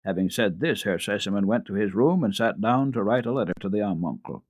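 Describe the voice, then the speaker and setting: A man narrating a children's classic as a radio storyteller, in a measured, expressive, formal reading. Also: fairly low pitch, slightly rough, dry recording.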